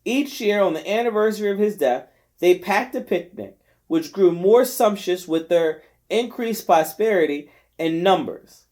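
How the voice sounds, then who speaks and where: Higher-voiced man reading a novel aloud. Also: expressive, a little slow, darkish tone. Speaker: volunteer reader of a novel. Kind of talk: scripted audiobook narration.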